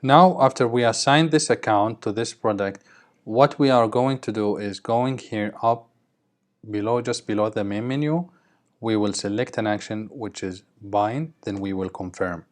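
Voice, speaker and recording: A man with a fairly low voice teaches a software procedure. He talks through each step in an instructional way, pausing to check that the listener follows. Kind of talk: screen-recorded tutorial, explaining step by step.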